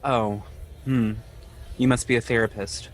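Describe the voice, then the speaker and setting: An actor playing a lonely, ashamed man in his twenties, speaking in a halting, confessional way in an audio drama. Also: medium pitch, steady tone, soft background music, close to an everyday voice. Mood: subdued, wry. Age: 20s. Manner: halting, confessional, repeats himself. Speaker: podcast host acting in own audio drama.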